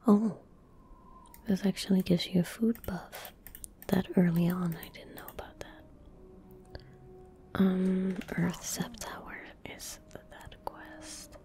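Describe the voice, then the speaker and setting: A young woman whispering gently and breathily in a soft-spoken ASMR style as she comments on a game she plays. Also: medium pitch, fairly even intonation. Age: young adult. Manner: gentle, unhurried, murmured asides to self. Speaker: ASMR gaming streamer. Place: indoor room at a computer. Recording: faint background noise, game ambience. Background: soft game ambience, faint noise.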